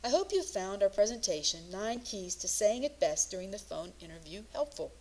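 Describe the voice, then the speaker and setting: A woman's voice: mid-range voice, very animated, moderate pace, a little mellow, rough recording. Woman presenting a scripted career lesson. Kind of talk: scripted instructional narration.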